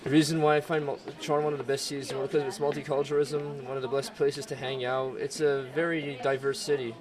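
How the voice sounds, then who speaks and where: A man giving a quick vox pop answer in a busy college hallway. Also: medium pitch, flat delivery. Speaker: student interviewee. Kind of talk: street interview. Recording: distant mic amid crowd.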